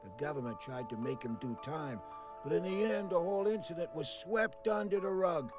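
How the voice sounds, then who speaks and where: A man's voice: fairly high pitch, moderate pace, lightly acted. A man playing a slangy, streetwise 1940s gangster henchman who tells tales theatrically in a cartoonish video game. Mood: ominous storytelling. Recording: music behind the voice.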